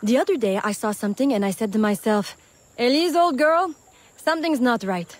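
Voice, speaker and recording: A woman's voice: fairly high pitch, expressive, brisk. An actress playing a brash female swamp smuggler in a video game, with a breezy, folksy, familiar way of talking. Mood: conspiratorial. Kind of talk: scripted video game acting.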